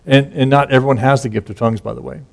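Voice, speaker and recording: A man's voice: mid-range voice, fairly even intonation, racing, creaky. A man teaching from scripture in an informal, conversational way, reading verses aloud and explaining them with frequent restarts and asides. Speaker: Bible teacher.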